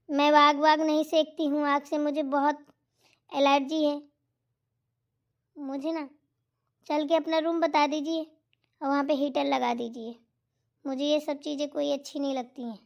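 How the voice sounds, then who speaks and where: A woman's voice: high-pitched, flat delivery, measured pace, gravelly, long pauses. A woman voicing a bossy, demanding middle-aged aunt-in-law in a cartoon comedy, scolding and haughty. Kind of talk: Hindi cartoon comedy voice acting.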